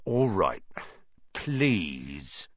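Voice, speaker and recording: Man playing an ill-tempered, demanding, animated caretaker. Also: mid-range voice, measured pace, creaky, husky, no echo, dull audio, voice pushed up, heavily acted. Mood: impatient. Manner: demanding, grudging. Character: ill-tempered, impatient male school caretaker on the hunt.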